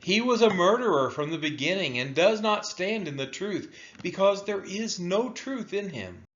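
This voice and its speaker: A man reading scripture aloud in a solemn style, with deliberate, emphatic phrasing and a dull, lo-fi sound. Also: high voice, lively intonation, fairly bright tone. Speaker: scripture reader.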